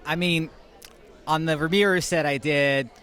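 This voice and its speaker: Chill woman cosplayer chatting at a con, lowish voice, jokes about herself. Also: steady tone, unhurried, a bit bright, clear, resonant tone. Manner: laid-back, laughs at herself. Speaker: cosplayer guest. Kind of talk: casual interview answers. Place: at a fan convention.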